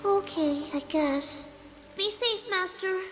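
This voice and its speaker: A woman voicing an eager, devoted young girl disciple in a video game, sweet, soft and slightly hesitant. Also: very high voice, slow pace, soft background music, exaggerated voice. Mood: reluctant, caring. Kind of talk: video game character acting.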